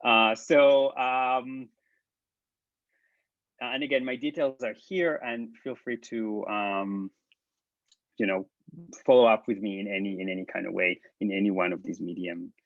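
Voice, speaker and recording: A man from Israel with an Israeli accent, giving a hesitant, halting talk full of restarts on a video call. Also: on the low side, fairly even intonation, no echo. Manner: halting, many restarts and ums. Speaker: presenter. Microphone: laptop mic on video call. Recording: dull lo-fi video call audio.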